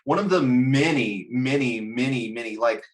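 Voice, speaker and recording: A man's voice: mid-range voice, normal speed, rough recording. A man chatting casually as a panelist in a film discussion, ranting bluntly and swearing freely. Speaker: podcast panelist.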